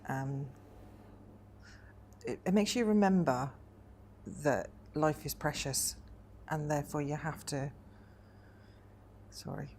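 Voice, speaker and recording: A woman in her forties from Wales, speaking thoughtfully in a personal interview, often pausing with hesitant ums. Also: low-pitched, darkish tone, a little hiss or hum. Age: 40s.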